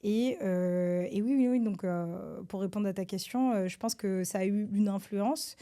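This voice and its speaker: A woman from France, a government advisor, answers interview questions in a hesitant, halting way with many filler sounds and restarts. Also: mid-range voice, mellow. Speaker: government advisor, podcast guest.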